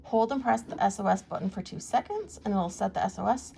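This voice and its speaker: A woman's voice: medium pitch, a little fast, dark tone. A woman giving a casual, plain-spoken product review, explaining features as she shows them. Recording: clear background noise, handling clicks.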